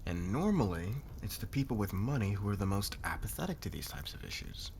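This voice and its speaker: Commanding, smug corporate lord played by a man, expressive intonation, faint hiss behind, for ASMR roleplay drama. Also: fairly low pitch, gravelly. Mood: probing, sardonic. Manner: commanding, sardonic, probing, deliberate. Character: demanding, smug corporate boss, a cool calculating lord. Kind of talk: scripted ASMR roleplay acting.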